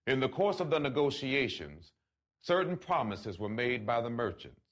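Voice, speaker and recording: A man reads a written letter aloud in a solemn, oratorical way, with deliberate phrasing and emphatic pauses. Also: mid-range voice, big pitch swings, a bit bright. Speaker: reader of a letter.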